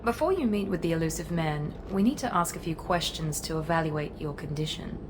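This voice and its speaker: An actress playing a cold, confident, ruthless project director in a sci-fi video game, with a clipped, dismissive manner. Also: female voice, deep voice, animated, fairly quick, noisy background. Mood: brisk, commanding. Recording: music behind the voice.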